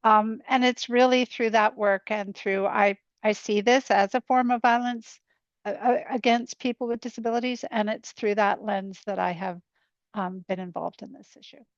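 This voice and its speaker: Woman prof on a webinar panel, gravelly fry in her voice, close dry kinda muffled sound. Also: mid-range voice, steady tone, moderate pace, no room sound. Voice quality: creaky voice. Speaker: law professor on a panel. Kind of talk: introducing own work at a webinar.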